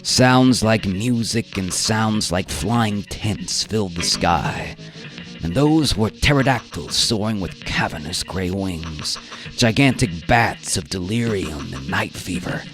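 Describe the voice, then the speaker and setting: A man narrating a dramatic science fiction story in a theatrical, deliberate manner over plucked guitar music. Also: on the low side, animated, a bit bright. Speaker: narrator and performer of radio drama. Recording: live performance with music bed.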